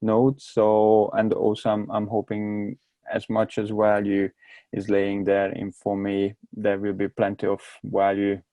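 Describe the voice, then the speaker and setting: A low, gravelly man's voice with a strong Hungarian accent, almost monotone as he explains himself in his second language. He stalls and repeats words mid-sentence, and the mellow, dark tone sits in a dry, close space. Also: no room sound. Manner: halting, repeats words while searching for them. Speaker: guest. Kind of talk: casual interview talk.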